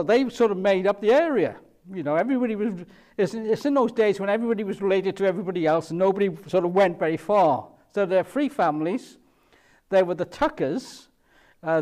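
A middle-aged man with a Welsh Cardiff accent delivers a sermon, walking through the passage patiently and repeating his main points. His voice sits a little high for a man, and he often pauses on "uh" as he goes. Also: a little mellow. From Cardiff, Wales. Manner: explanatory, repeats key points, frequent fillers. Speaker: preacher.

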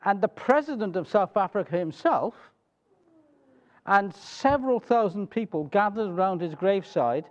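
A middle-aged man with a Welsh accent speaking as a preacher, telling a story to a congregation in a halting, conversational way with little check-in questions. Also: sits high, lively intonation, muffled recording. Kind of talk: informal sermon telling a missionary biography to a congregation.

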